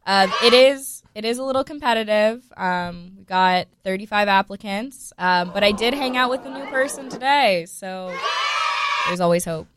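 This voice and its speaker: A woman's voice: mid-range voice, normal speed, crowd noise, background noise. A woman guest on a radio show, chatting casually in a playful, mock-promotional way.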